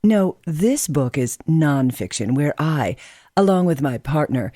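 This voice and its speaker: A woman's voice: deep voice, lively intonation, unhurried, dark tone, no room sound. Haughty lady author, dry sound. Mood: polite, self-important. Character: haughty best-selling woman author and doctor. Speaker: talk show guest character. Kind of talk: radio drama acting.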